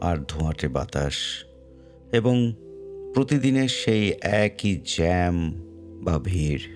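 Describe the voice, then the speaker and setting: A man narrating a guided meditation in a measured way, pausing between short phrases. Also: low voice, big pitch swings. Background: faint music.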